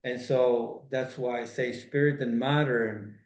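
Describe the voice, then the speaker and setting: A man's voice: medium pitch, steady tone, a little slow, a little mellow. A middle-aged man from Venezuela, talking earnestly as an interview guest in a reflective spiritual conversation, gesturing broadly as his phrases loop and circle back. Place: home room, indoors. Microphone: video call webcam. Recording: roomy, dull video-call audio.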